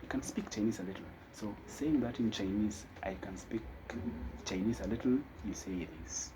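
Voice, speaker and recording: A man teaching a casual spoken lesson, talking slowly and thinking aloud with fillers. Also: fairly low pitch, noisy background. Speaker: language teacher.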